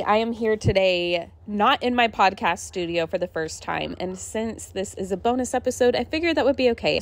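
A woman hosting a podcast solo, talking casually in an enthusiastic, chatty manner. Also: medium pitch. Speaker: podcast host.

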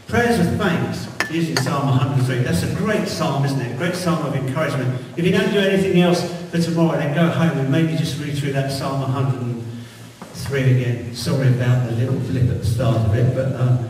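A middle-aged man leading a church meeting, talking in a relaxed, rambling, encouraging way into a handheld mic. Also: mid-range voice, normal speed, few pauses. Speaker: church leader. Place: large church hall indoors. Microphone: handheld mic, filmed from far back. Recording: echoey hall audio from far off, faint hiss.

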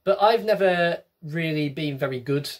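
Wry young man with a husky voice. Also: a little high, moderate pace. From England. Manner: wry, chatty, self-mocking. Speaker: comedian, web series host. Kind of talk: comic monologue to camera. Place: small bedroom with bookshelves.

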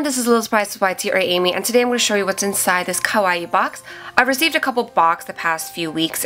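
Young woman, sick and nasal, chatty unboxing vlogger, crisp bright tone over faint background music. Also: medium pitch. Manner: chatty, thinking aloud with fillers. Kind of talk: casual unboxing review. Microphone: off-camera voice over hands-only tabletop shots.

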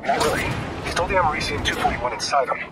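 An actor playing a dogged, businesslike veteran police detective near retirement in a video game. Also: male voice, a little high, rapid-fire, lightly acted. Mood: earnest, dogged. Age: older. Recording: distant voice under loud city noise.

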